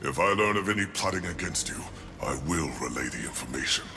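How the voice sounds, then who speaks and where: A humbled angel warrior in a game, low voice, solemn and formal. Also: male voice, lively intonation, brisk, over loud music. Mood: solemn, grateful. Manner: grave, formal. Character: solemn, humbled male angel warrior pledging his life. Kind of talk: video game cutscene acting.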